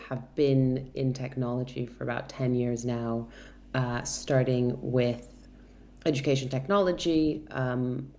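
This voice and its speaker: A woman in her thirties with an American accent, originally from Brazil, speaks in a notably deep, low register. She answers interview questions at length, thinking aloud with frequent ums and small restarts. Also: warm tone. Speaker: guest, product director.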